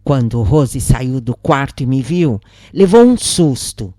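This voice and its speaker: A woman's voice: very deep voice, animated, slow pace, mellow, no echo, studio quality. A woman with a Brazilian accent reading a scripted story as its narrator. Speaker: narrator of spiritist stories.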